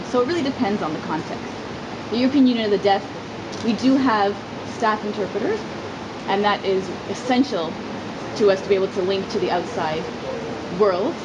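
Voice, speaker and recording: Loud, steady noise sits behind a woman's mid-pitched voice. She interprets in a formal interview setting, explaining things in measured phrases. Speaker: sign language interpreter voicing an interviewee.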